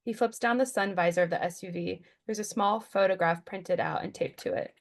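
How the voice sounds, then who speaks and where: A woman's voice: on the low side, brisk. A woman giving scripted narration, reading stage directions at a table read.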